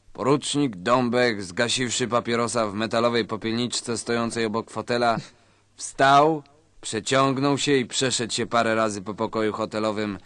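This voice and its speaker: Guy reading a satirical story like a radio drama narrator, medium pitch, dry sound. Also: a bit bright, no echo.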